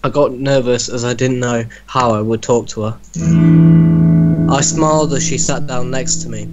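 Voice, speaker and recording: A young man reads a story aloud to friends in a mock-dramatic manner, savouring each phrase. His voice sits at a medium pitch, and loud acoustic guitar music plays right behind it. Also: steady tone, moderate pace, rough recording.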